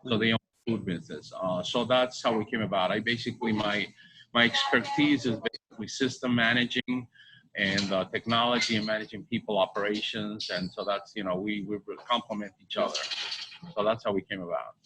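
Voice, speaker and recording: A middle-aged man from Bolivia answering interview questions conversationally, halting and repeating his phrases. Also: on the low side, fairly even intonation. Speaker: restaurant co-owner. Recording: faint background ambience noise.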